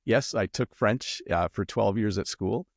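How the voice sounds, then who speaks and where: A middle-aged Canadian man with a Canadian accent, chatting as an interview guest in a relaxed, rambling way with many ums and restarts. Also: lowish voice, unhurried, vocal fry, dry recording, clean studio sound. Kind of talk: casual interview chat.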